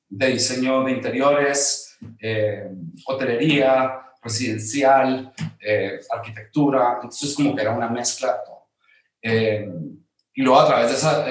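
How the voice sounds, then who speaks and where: A man from Guatemala with a Guatemalan accent, a guest designer telling his story in a hesitant, conversational way with many fillers. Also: medium pitch. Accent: Guatemalan Spanish. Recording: very roomy echo.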